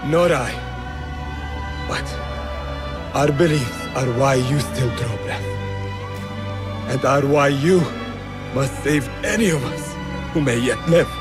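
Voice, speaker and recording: An actor playing a badly wounded, loyal assassin, halting and earnest, in a game cutscene. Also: male voice, medium pitch, natural voice. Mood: solemn resolve. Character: wounded, loyal assassin brother, stoic and principled. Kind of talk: dramatic video game cutscene acting. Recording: film-score music behind the dialogue. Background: dramatic background music.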